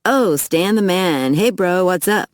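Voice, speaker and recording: A woman's voice: on the low side, expressive, a little slow, no room sound, clean studio sound, pitched above the performer's own voice. A woman voicing a cocky, easygoing young male undercover vampire with slangy, swaggering talk in an audiobook. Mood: breezy bravado.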